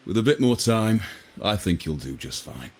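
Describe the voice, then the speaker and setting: An actor playing a jovial, easygoing henchman in a video game, breezy and encouraging, with a husky voice. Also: on the low side, big pitch swings, measured pace, a little hiss or hum. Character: jovial, easygoing male henchman of a crime family.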